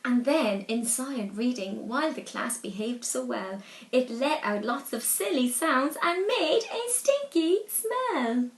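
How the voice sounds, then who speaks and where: A woman reads a children's story aloud with animated, deliberate expression. Her voice is high and she takes her time over each phrase. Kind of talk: expressive picture-book read-aloud. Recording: echoey, roomy sound.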